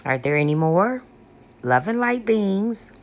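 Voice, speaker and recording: A woman with a deep, coarse voice, slowly and gently calling out to spirits on her front porch and then chatting casually with her viewers. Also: very animated. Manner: gentle, pleading, repetitive calling out. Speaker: paranormal vlogger. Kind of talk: slow, hushed spirit invocations mixed with casual chat to viewers. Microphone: external microphone.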